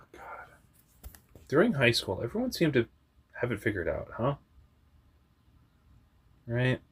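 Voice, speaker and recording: A young man with a very deep voice telling a personal story in a rambling, candid way, often laughing at himself and swearing. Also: huge pitch swings, slow pace, long pauses, a bit bright, breathy edge. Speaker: vlogger.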